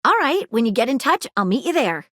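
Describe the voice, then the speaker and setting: An earnest detective object in a dating game. She talks in formal, precise phrases with a lively, swinging pitch a little above the usual. The sound is close and dry. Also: a little fast, no echo. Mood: warm. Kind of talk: game dialogue clips. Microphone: studio booth mic.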